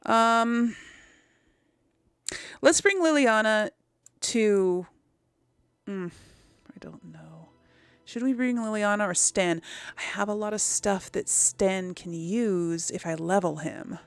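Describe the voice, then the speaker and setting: Creaky-voiced woman chatting through a game. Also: mid-range voice, slow, long pauses, no room sound. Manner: rambling, thinking aloud. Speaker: host of a video game let's play. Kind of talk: casual gameplay commentary addressed to viewers.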